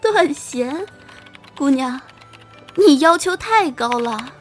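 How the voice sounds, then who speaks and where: Casting: actress as a high-pitched, teasing female chatroom regular for radio drama. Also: lively intonation, slow pace, faint music behind. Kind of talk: online radio drama acting.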